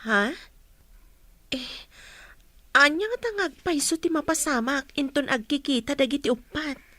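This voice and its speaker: Woman acting a high, bright young village daughter. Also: a little slow, no room sound. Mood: concerned. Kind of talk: Ilocano radio drama acting.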